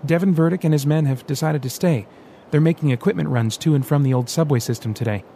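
A man voicing an excitable young male technician who explains his gadgets eagerly. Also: fairly high pitch, quick pace, slight background noise, pitched above the performer's own voice, close to an everyday voice. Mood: excited.

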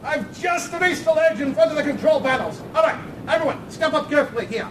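A very high-pitched, self-assured male adventurer declaims with grandiose, theatrical pomp. It is a comedic radio play, heard from a distant mic in a roomy live space with faint noise. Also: a little fast. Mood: confident, grand. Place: convention stage before live audience.

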